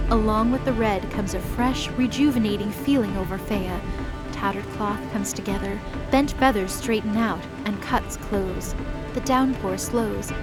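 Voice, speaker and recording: Female narrator, measured storytelling over a music bed. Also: mid-range voice, rough recording. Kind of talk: scripted dramatic narration.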